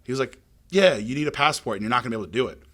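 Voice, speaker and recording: A young man with a deep voice, a podcast guest telling stories in a relaxed, casual way with many fillers. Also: very fast.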